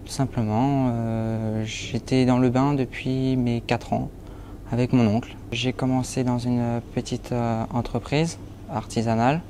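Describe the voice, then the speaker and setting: Young man, nineteen, apprentice baker; mellow mid-pitched voice, halting with fillers; faint ambience. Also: little pitch movement, a little hiss or hum. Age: young adult. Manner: hesitant, many fillers. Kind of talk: interview portrait.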